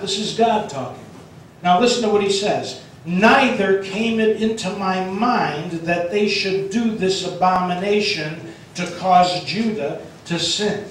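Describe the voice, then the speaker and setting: A man's voice: high voice, a little hiss or hum. An older man preaching a sermon in a church sanctuary, emphatic and questioning the congregation, often tagging points with "okay?". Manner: emphatic, asks the room questions and answers them, ends points with "okay?"; moves around the stage and gestures while preaching. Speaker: pastor. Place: church sanctuary with large wall text. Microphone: room mic, speaker far from it. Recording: very echoey church from a distance.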